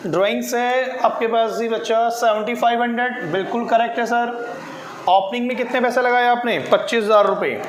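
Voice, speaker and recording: Male tutor, high pitch, repetitive question-led board teaching, noisy room recording. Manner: question-and-answer teaching, answers own questions aloud; repeats key figures and phrases. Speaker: accountancy tutor. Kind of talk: classroom lesson at a board. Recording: echoey room with clear noise.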